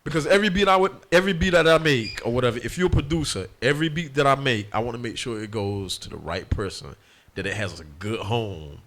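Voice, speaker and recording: A man's voice: medium pitch, quick pace, a bit bright. Laid-back man producer chatting. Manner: laid-back, says "and whatnot" often. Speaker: music producer, guest. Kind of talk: casual interview chat.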